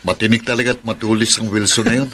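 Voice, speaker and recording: A man's voice: lowish voice, flat delivery, quick pace, crowd noise, lightly acted. An actor playing a lovelorn working man in a Filipino radio soap, secretly pining for a woman who is taken. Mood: bantering.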